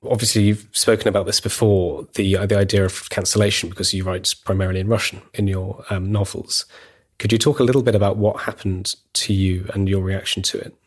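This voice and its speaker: A man hosting a podcast, asking a guest measured, polite questions. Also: lowish voice, little pitch movement. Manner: measured, polite, with small listening murmurs. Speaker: podcast host. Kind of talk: interview questions.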